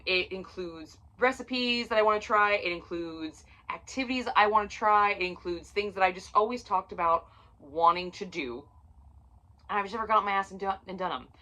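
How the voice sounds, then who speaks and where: A woman's voice: lowish voice, pushed and bright. A woman in her late thirties vlogging about books from her floor at home, chatty and self-mocking with plenty of swearing. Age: 30s. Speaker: book vlogger. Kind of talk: casual chat to camera.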